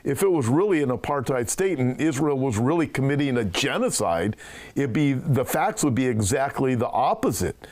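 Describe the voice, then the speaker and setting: A man's voice: medium pitch, big pitch swings, normal speed, a little mellow. A pastor hosts the conversation in a plain mid-range male voice. He poses long, rambling questions, circling back with fillers before he lands on his point. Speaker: pastor and show host. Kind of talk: interview conversation.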